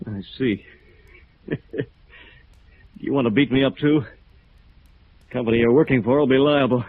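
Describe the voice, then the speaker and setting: An actor with an American accent playing a battered, bitter architect in 1950s radio drama, halting and weary. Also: male voice, medium pitch, no room sound, natural voice. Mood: weary, ironic. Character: battered, bitter, dazed local architect, a beaten man. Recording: muffled narrow-band vintage radio recording.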